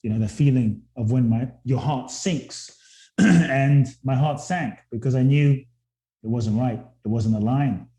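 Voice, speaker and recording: A man's voice: medium pitch, a little slow, mellow. A man, a guest speaker telling his story in an earnest, reflective way. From born in Ghana, grew up in London. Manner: earnest, reflective, repeats words when starting sentences. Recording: roomy echo.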